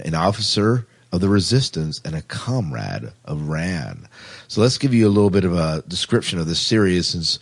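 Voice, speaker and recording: A man's voice: low-pitched, slightly rough. A man hosting a podcast, chatty and rambling, reading out news items in a casual, self-deprecating way. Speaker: podcast host.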